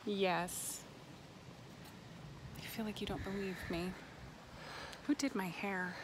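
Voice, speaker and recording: A woman's voice: mid-range voice, steady tone, slow. A middle-aged woman, a mom on a family hike, chatting playfully and goofing around outdoors. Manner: playful, silly, bursts into song. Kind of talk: casual chat. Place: outdoors on a canyon hiking trail. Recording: noisy outdoor phone audio.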